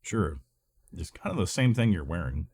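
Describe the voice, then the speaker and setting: A man's voice: low-pitched, sing-song, moderate pace, darkish tone, slightly rough. A man with a stuffy, nasal voice from a cold, rambling and thinking aloud as he plays a video game. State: recovering from illness, congested. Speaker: let's play gamer. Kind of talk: casual gameplay commentary.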